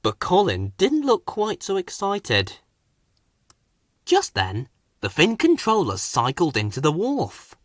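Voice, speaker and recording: A man's voice: sits high, huge pitch swings, slow, no echo, clean studio sound. A man reading a scripted children's story as its narrator.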